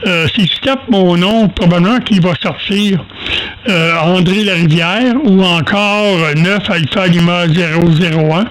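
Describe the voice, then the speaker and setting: An older man with a Quebec French accent, chatting casually over amateur radio in a halting, folksy, self-deprecating way, telling rambling anecdotes. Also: high-pitched, fairly even intonation, fairly bright tone, rough recording.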